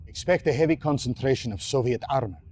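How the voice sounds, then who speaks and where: Guy playing stern army officer in game briefing, terse n serious, mid pitch, bit of noise. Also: fast, a little mellow, natural voice. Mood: grim, urgent. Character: stern, terse male military aide at briefings. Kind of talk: dramatic video game cutscene acting. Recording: noisy old game video audio.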